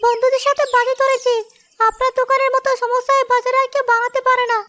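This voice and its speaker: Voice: extremely high pitch, level tone, fast, bright, forceful tone, a little hiss or hum. A performer voicing an eager, admiring young child customer in a cartoon. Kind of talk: cartoon voice acting.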